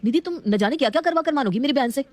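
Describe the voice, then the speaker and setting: A woman's voice: high-pitched, animated, very fast, darkish tone. A woman voicing a protective, hot-headed adult brother in a family story, urgent and scolding. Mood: annoyed.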